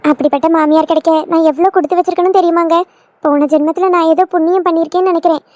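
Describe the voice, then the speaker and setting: A woman's voice: very high voice, steady tone, very fast, a little mellow, dry recording. A woman playing an affectionate young daughter-in-law in a family skit, gushing and emotional, with a southern Tamil Nadu accent. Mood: devoted, gushing.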